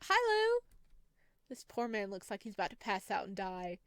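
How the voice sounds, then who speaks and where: A woman's voice: medium pitch, wildly swooping pitch, normal speed, smooth, no room sound, clean studio sound. A woman doing a visual novel let's play, reading the text aloud with giggly, gushing, exclaiming reactions. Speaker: gaming video host.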